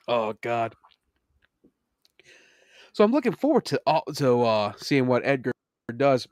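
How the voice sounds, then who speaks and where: A man in the middle of a loose podcast chat, excitable and quick to break into laughter. He swears freely and his pitch sits mid-range. The sound is dry and close, with no room echo. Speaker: podcast co-host. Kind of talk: casual chat.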